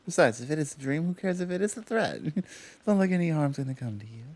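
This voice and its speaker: A woman playing a tall, powerful, protective Amazon in an intimate ASMR roleplay, talking softly and teasingly with halting pauses. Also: deep voice, expressive. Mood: firm, teasing.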